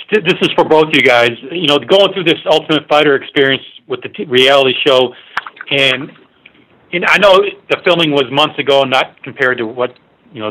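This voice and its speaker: A man working as a sports reporter, asking halting, rambling and informal questions on a media conference call. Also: a little high, bright, forceful tone, rough recording.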